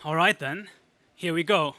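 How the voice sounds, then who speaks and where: A man's voice: fairly high pitch, a little slow, pushed and bright, dry recording. A man playing a brave young Earth hero, earnest and urgent, in a 1930s radio serial style. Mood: urgent resolve.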